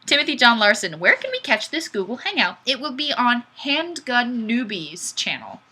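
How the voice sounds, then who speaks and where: A woman hosting a casual live stream, chatting and reading comments in a goofy, animated, exclamatory way. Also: fairly high pitch, normal speed, slight background noise. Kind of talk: casual chat, reading viewer comments aloud.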